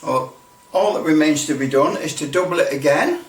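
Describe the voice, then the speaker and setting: A man's voice: a little high, normal speed. Man demonstrating step by step, echoey and distant. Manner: explanatory, repeats himself, talks through each step. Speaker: presenter of a hobby demonstration. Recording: roomy echo, distant microphone.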